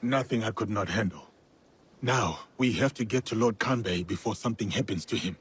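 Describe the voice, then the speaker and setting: Deep, very husky loyal samurai warrior. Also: male voice, brisk. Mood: steady. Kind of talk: dramatic video game acting.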